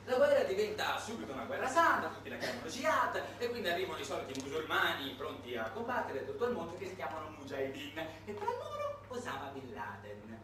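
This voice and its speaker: A man delivering a satirical theatrical monologue in an emphatic, ironic, halting manner. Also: fairly high pitch, wildly swooping pitch. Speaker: stage monologuist. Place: roomy indoor performance space, far from mic. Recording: distant, echoey, noisy live theatre recording.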